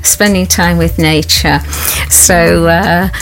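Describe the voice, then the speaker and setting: Woman host, lower voice, affirming and nudging with tag questions, faint music under. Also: fairly even intonation, slow, a bit bright, low-quality recording. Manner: encouraging, lots of affirming yeahs and tag questions. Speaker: radio show host. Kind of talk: warm-paced radio interview.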